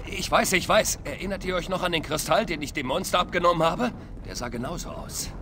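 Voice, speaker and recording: A man's voice: high-pitched, fairly quick, background noise. An actor playing a young, commanding soldier who leads his squad with urgency in a video game. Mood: wary. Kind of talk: video game voice acting, German dub.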